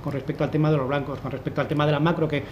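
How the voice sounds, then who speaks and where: A man's voice: fairly high pitch, little pitch movement, racing, highs cut off, a little hiss or hum. A man in his thirties speaking on a panel in a conference room, explaining his analysis with many restarts. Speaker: fund manager on a panel. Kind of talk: panel discussion. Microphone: desk microphone.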